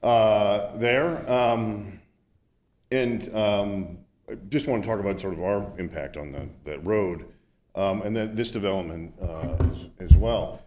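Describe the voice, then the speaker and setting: A man who owns a local business, speaking at a city meeting in a hesitant way with many pauses and fillers. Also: lowish voice, a little mellow, a little hiss or hum. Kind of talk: unscripted public comment.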